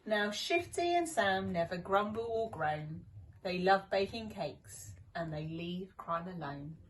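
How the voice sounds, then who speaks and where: A woman's voice: fairly low pitch, lively intonation, slow, a little hiss or hum, poor audio. A woman reading a children's rhyming story with dramatic, rhythmic expression. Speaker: deputy headteacher reading a story.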